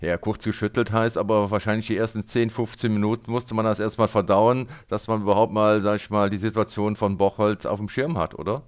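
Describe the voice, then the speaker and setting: Clear-voiced man, sports radio interviewer. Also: fairly low pitch, fairly even intonation, fairly quick, few pauses. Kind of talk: post-match interview questions.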